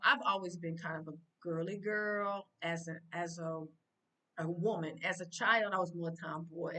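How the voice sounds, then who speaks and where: A woman's voice: lowish voice, fairly bright tone. A woman from the United States with an American accent, talking casually and informally to her viewers in a rambling way, with lots of fillers and restarts. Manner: addresses viewers directly and informally. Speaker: vlogger. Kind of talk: casual talk to camera. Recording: voice a bit distant from the mic.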